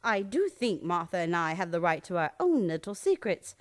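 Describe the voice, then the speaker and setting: A woman playing a genteel elderly aunt in a radio comedy, gently scolding and serenely matter-of-fact. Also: on the low side, very animated, moderate pace. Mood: prim, dismissive. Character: sweet, prim elderly aunt, cheerfully unbothered by murder. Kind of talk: stage comedy radio play acting.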